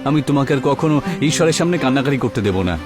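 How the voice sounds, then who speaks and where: Man voicing an earnest, quick cartoon village lad. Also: mid-range voice, lively intonation, natural voice. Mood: devoted. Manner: earnest, reassuring. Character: determined, hopeful young village boy, caring son. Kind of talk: cartoon character acting. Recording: music behind the voice.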